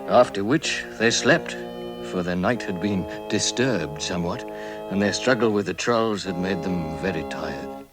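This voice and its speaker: An actor narrating a radio drama as a calm, measured male storyteller. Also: low-pitched, over loud music.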